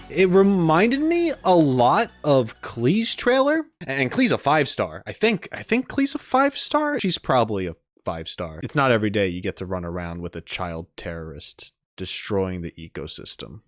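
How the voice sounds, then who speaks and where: A man gives casual gaming reaction commentary in a mid-pitched voice. He rambles along in a chatty, self-deprecating way, with faint music behind him. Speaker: reaction video host.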